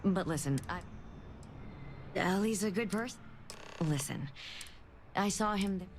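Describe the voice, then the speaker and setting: An actress playing a weary, reserved doctor in a video game, speaking in a measured, hesitant way. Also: female voice, mid-range voice, animated, slight background noise. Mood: guarded, reluctant. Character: weary, reserved woman doctor at a colony clinic. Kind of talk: video game character dialogue.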